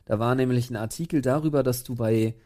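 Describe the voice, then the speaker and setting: A man from Berlin co-hosting a podcast, cracking jokes and mock-complaining in animated, casual talk. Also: medium pitch, quick pace. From Berlin, Germany. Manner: joking, self-mocking, animated. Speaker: podcast co-host.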